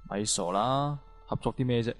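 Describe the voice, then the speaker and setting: A male actor as a scornful prison officer in a radio drama. His medium voice gives orders and brushes people off. Soft music runs behind it. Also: medium pitch, moderate pace, dry recording, close to an everyday voice. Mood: commanding, scornful. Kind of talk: amateur radio drama acting.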